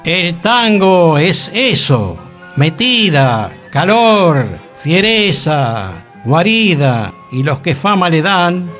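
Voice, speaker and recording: An old-time Buenos Aires man at a drinking gathering, as a male radio host reads him, declaiming rhyming verse with emphasis. He has a Rioplatense accent and a voice pitched a little high, and soft music plays behind him. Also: sing-song, extremely slow, full character voice. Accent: Rioplatense Spanish.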